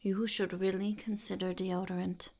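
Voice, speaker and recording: A woman voicing a blunt, smug female goblin entrepreneur in an unhurried, breathy, gravelly half-whisper for an ASMR roleplay. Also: mid-range voice, level tone, a little mellow, close to an everyday voice. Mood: smug, matter-of-fact. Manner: speaks of herself in third person, unhurried, blunt. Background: crinkling wrappers and clicks.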